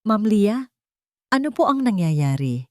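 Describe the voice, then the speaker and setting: A woman's voice: medium pitch, very animated, unhurried, no room sound, pitched above the performer's own voice. A loyal old manservant, as a woman narrator reads him in an audio drama. He speaks formally and protectively, in a dry, close recording. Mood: worried. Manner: formal, respectful. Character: loyal old male family steward, deferential and protective.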